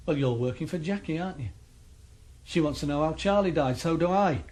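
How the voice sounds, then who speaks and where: An actor playing a cold, menacing middle-aged crime boss in a radio play, speaking in a matter-of-fact way. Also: male voice, fairly high pitch, animated, brisk, a little mellow, close to an everyday voice. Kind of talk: radio drama acting.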